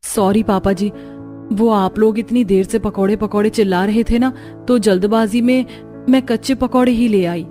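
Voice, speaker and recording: A dutiful young daughter-in-law from a cartoon family story, acted out by a woman. She sounds harried and eager to oblige, at a middle pitch. Faint music plays behind her. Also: fairly even intonation, normal speed, dark tone, close to an everyday voice. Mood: apologetic. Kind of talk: Hindi cartoon story dialogue.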